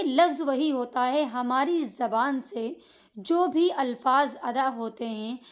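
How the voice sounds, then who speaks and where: A woman teaching children Arabic grammar, explaining patiently and checking they follow. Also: high-pitched. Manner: patient, repeats points with checking questions. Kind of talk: online grammar lesson for children.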